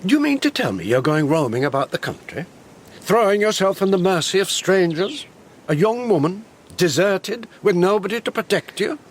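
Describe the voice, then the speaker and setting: Grumbling country parson, very lively pitch. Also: male voice, moderate pace, slight background noise. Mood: disapproving. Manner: grumbling, wry, old-fashioned turns of phrase. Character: gruff, fussy older country parson, sceptical uncle. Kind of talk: period radio drama acting.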